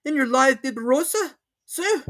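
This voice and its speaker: A man voicing a quiet, unruffled thirty-year-old manservant in a storybook reading, polite and questioning. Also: very high-pitched, lively intonation, moderate pace, pitched above the performer's own voice. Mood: politely questioning.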